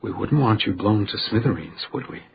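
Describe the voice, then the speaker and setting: An actor playing a polite but stubborn hotel detective in a radio crime drama, with a dry, storytelling way of talking. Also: male voice, on the low side, brisk, creaky, lo-fi sound, a little hiss or hum. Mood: wry, cautious. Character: hotel house detective, male, deferential but stubbornly needling.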